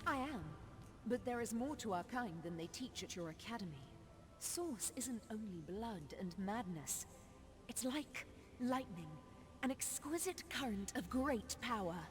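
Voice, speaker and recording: An actress playing a proud, sorrowful forest witch in a fantasy video game, telling her tale in measured, formal speech. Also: fairly high pitch, animated. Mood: proud, solemn. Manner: measured, solemn, formal storytelling. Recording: long reverb on game dialogue.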